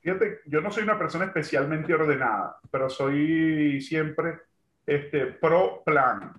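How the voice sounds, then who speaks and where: A man's voice: a little high, takes it slowly, a bit bright. Man with a roomy, dull sound. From Venezuela. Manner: thoughtful, full of fillers like "este" and "digamos, ¿no?". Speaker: guest, construction entrepreneur. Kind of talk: remote interview.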